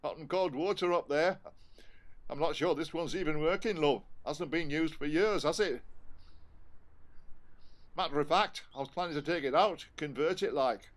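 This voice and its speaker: A man's voice: a little high, moderate pace, pauses often, close to an everyday voice. A man playing a working-class husband in an audio play, with a colloquial, homely, coaxing way of talking. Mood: chummy rambling.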